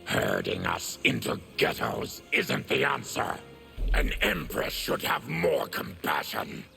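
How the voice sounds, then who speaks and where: Very gravelly, solemn inhuman warrior. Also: male voice, medium pitch, sing-song, takes it slowly, bright, forceful tone, soft background music, exaggerated voice. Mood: indignant, weary. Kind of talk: dramatic video game dialogue.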